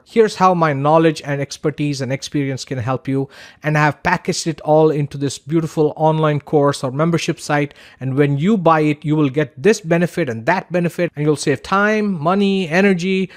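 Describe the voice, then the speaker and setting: A man's voice: fairly high pitch, dry recording. Man narrating a business lesson, dry sound. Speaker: podcaster and course teacher. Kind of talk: scripted instructional narration.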